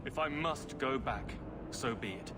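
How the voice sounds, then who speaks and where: A man's voice: mid-range voice, a little slow, very noisy, natural voice. A man playing an indignant, principled young nobleman in a video game, formal and impassioned. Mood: bitter. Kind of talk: video game cutscene acting. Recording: prominent music behind.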